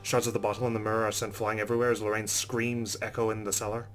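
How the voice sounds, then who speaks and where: A man's voice: fairly low pitch, level tone, quick pace, over loud music. A man hosting a let's play, reading visual novel narration aloud and adding casual asides. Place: recorded voice-over with game music behind.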